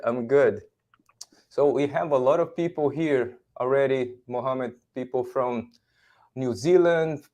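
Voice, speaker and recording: A man's voice: mid-range voice, a little mellow. A man hosting a livestreamed podcast interview, speaking conversationally to his audience and guest. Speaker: podcast host.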